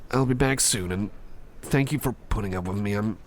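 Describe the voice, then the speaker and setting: A man playing a flustered, apologetic boss in an ASMR roleplay, stammering and repeating himself in a creaky voice. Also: fairly low pitch, brisk, slight background noise, natural voice. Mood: apologetic, embarrassed. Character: flustered, apologetic boss recovering from an embarrassing outburst.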